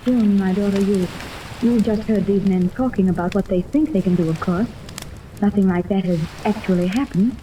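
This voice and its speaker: An actress in a 1930s radio serial playing a worried, genteel mother with a measured way of talking. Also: mid-range voice, warm tone, close to an everyday voice. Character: worried, genteel mother, wealthy adult woman. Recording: very muffled, faintly hissy 1930s radio recording.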